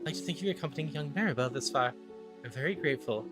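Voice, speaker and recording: A man voicing a gentle, grave mystical leader from a video game, pleading and apologetic in manner. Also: a little high, animated, rapid-fire, lightly acted. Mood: grateful, polite. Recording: game music under the voice.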